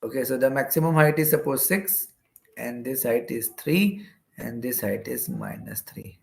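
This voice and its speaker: A man's voice: mid-range voice, animated, moderate pace, vocal fry. A man with an Indian accent teaching step by step as a lecturer, often repeating phrases and checking in with "okay". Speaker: physics teacher. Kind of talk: explanatory exam-prep lecture working through problems step by step. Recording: roomy echo.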